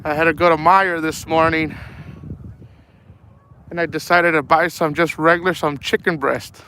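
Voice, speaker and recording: A man's voice: a little high, level tone, normal speed. A young man with a sore throat and a voice that is going, hosting a casual fishing livestream outdoors by a frozen lake, rambling easily and calling everyone "man". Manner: rambling, easygoing, says "man" a lot. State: losing his voice, sore throat. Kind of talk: casual live-stream chat reading out viewer names. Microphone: phone held at arm's length. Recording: loud wind noise outdoors. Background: wind and honking geese.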